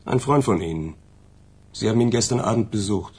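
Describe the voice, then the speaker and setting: An actor in a radio drama playing a blunt FBI agent with a quietly threatening manner. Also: male voice, on the low side, expressive, fairly quick, breathy edge. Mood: menacing.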